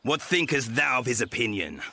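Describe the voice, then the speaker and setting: Bright, animated, mocking jester. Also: male voice, medium pitch, normal speed, heavily acted. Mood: pompous mocking. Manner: mocking, theatrical. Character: mischievous jester posing as a pompous mocking curate. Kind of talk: classical radio drama acting in Shakespearean English.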